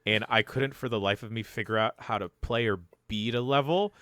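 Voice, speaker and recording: A man on a podcast telling a funny story, slangy and lively. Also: fairly low pitch, fairly quick, no room sound, studio quality. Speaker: podcast co-host. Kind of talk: casual chat, animated anecdote.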